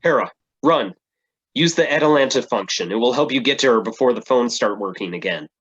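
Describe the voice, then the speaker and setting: A man's voice: medium pitch, expressive, a little fast, a bit bright, breathy edge, dry recording, muffled recording. An actor playing an earnest, solemn male superhero in a staged play reading. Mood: urgent.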